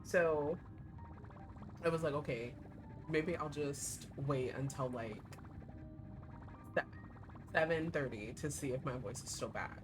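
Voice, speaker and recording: A young woman streaming a game, her voice hoarse and giving out, chatting in a rambling way with lots of fillers. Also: low voice, a little slow, long pauses. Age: young adult. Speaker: VTuber streamer. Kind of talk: casual livestream chat while playing a gacha game. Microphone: desk streaming mic. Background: game music.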